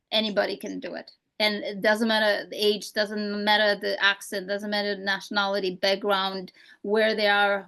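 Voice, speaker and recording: Woman, Romanian accent, non-native speaker, gravelly, confident, emphatic podcast guest. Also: mid-range voice, fairly bright tone. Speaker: real estate coach.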